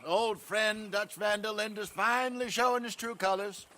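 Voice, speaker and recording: A man's voice: high voice, fairly even intonation, normal speed, bright, forceful tone. A man playing a wry, mocking outlaw con man in a video game drama. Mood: sardonic.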